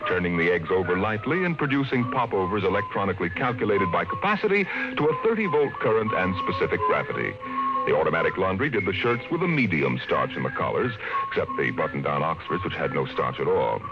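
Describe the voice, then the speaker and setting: Low-voiced guy narrating an old radio play, lots of pitch swing, dull muffled sound with music under it. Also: sing-song. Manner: measured, slowing for dramatic effect.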